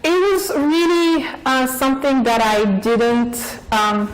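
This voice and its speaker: A woman in her forties from Bulgaria with a Bulgarian accent, giving a thoughtful interview as a professor, with frequent pauses and fillers. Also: sits high, expressive, slight background noise, poor audio. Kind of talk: interview answers.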